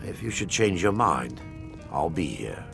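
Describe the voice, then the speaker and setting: A grave priest from a fantasy video game. His very deep voice moves expressively through formal, measured phrases. He sounds earnest and soothing, with music playing behind him. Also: big pitch swings, gravelly, exaggerated voice. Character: grave, soothing male elven priest keeping secrets.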